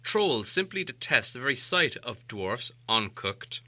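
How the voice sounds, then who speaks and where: A man reading a storybook aloud as an animated audiobook narrator. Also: medium pitch, a little slow.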